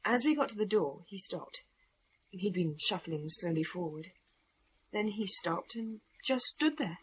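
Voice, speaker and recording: An actress playing a spirited, frank daughter in a radio play about a haunted house, with a wry, expressive delivery. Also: female voice, mid-range voice, measured pace, lo-fi sound, slight background noise, natural voice. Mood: hushed recounting. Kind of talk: radio play dialogue and narration.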